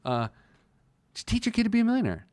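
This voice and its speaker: A man's voice: very high-pitched, big pitch swings, fast talker, a little mellow, clear, resonant tone, dry recording, studio quality. An American man hosting a personal finance show in a conversational, emphatic way, acting out objections and answering them.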